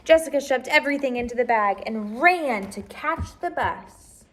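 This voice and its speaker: A woman's voice: sits high, expressive, moderate pace, fairly bright tone, slight background noise. A woman reading a children's picture book aloud as a storyteller, expressively and engaging her listeners with questions.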